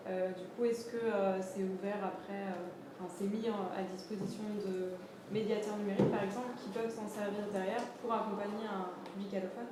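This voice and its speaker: A woman's voice: medium pitch, steady tone, brisk. A woman in the audience asks a question hesitantly, with fillers. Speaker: audience member asking a question. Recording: echoey hall, distant, background noise.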